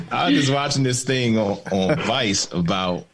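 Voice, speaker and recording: A man born in the Bahamas and raised in Maryland, a TV showrunner speaking as a guest, telling personal stories in a candid panel conversation, reflective and quick to laugh, over a video call. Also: mid-range voice, slight background noise. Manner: reflective, storytelling, laughs easily.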